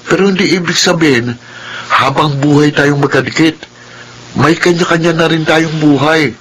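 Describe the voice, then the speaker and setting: A man's voice: on the high side, moderate pace, fairly bright tone, close to an everyday voice. Man acting an easygoing teenage boy, noisy background. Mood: defensive, dismissive. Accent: Filipino. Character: easygoing, carefree teenage son, twin brother. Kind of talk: Filipino radio drama acting.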